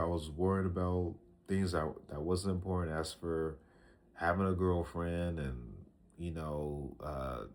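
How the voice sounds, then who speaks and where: A man in his early thirties talking to a camera, giving casual life advice in a slow, reflective way, often repeating himself with fillers and restarts. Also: sits low. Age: 30s. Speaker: vlogger.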